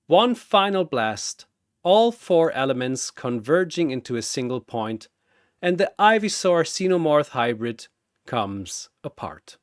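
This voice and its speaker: A man's voice: mid-range voice, no echo, clean studio sound. A man telling a scripted battle story in a theatrical, intense and emphatic narrator's style. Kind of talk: dramatic scripted fight narration.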